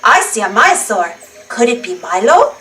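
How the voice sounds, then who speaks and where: A woman reading a storybook aloud to young children in an animated, deliberate way, stressing long words. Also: on the high side, far from the mic.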